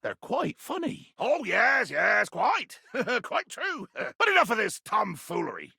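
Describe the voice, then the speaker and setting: An actor playing a tiny, fierce, pompous pirate captain in a video game, with a raspy, gravelly voice and grandiloquent, blustering delivery. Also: male voice, high voice, huge pitch swings, slow, bright, forceful tone, no room sound, clean studio sound, heavily acted. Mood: jovial. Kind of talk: theatrical video game character acting.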